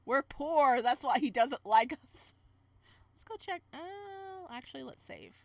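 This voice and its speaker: A woman hosting a casual visual novel playthrough, reading narration aloud and adding playful, expressive side comments. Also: high-pitched, a little slow, dry recording. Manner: expressive, playful reactions between read passages.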